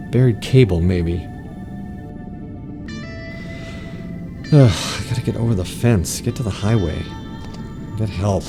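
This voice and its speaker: An actor playing a wary, stranded motorcyclist with a hesitant, colloquial way of talking in an audio drama. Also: male voice, fairly low pitch, full character voice. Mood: agitated, muttering. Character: wary, stranded male motorcyclist, an air-conditioner repairman. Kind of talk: full-cast audio drama acting.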